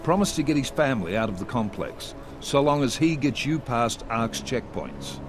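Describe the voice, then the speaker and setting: An actor playing a stern, controlled intelligence chief in a video game, clipped and authoritative. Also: male voice, medium pitch, expressive, moderate pace, noisy background, close to an everyday voice. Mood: grave, businesslike. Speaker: agency director briefing agents. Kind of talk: video game cutscene acting.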